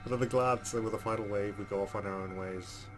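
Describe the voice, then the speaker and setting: Man narrating over clear game music. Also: lowish voice, steady tone, fast. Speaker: let's play host. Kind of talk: reading game text aloud with casual asides.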